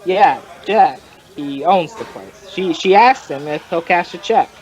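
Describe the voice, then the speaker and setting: An actor playing a folksy, rambling male informant who tells his story in colloquial, drawn-out fashion, in old-time radio crime drama style. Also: fairly high pitch, lively intonation, darkish tone, lo-fi sound.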